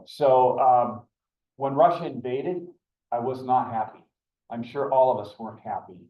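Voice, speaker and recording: A man's voice: mid-range voice, unhurried. An older man stands up at a club meeting to introduce a speaker. He talks earnestly and rambles a little, and his voice sounds distant and dull in an echoey meeting room. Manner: rambling, earnest, with many fillers and restarts.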